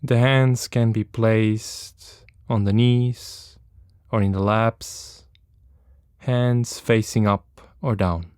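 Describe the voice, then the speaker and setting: A man leads a guided meditation in a clear, smooth voice recorded very dry and close. His instruction is gentle and very slow, with long pauses between phrases. He sounds like a practiced teacher taking listeners through a body scan. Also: lowish voice, steady tone, no echo.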